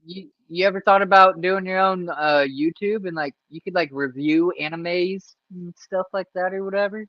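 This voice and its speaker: A man's voice: high-pitched, moderate pace, dry recording. A man hosting a casual livestream interview, rambling and laid-back with lots of fillers. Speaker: stream host. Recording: dry, dull lo-fi stream audio.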